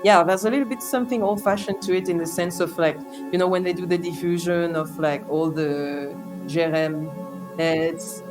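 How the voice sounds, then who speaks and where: A woman's voice: lowish voice, steady tone, normal speed, over music. A young adult woman from France, a native French speaker with a French accent, talking hesitantly and thoughtfully as an interview guest, with many fillers and restarts. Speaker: interview guest, sound artist. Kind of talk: reflective conversational interview.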